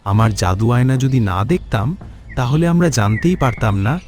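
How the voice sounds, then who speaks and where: A man plays a young fairy-tale adventurer for a cartoon. His mid-pitched voice moves around a lot, over faint music. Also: lively intonation, fairly quick, a little mellow, close to an everyday voice. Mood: assertive. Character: young adventurer friend with magic mirror. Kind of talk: dramatic cartoon dubbing.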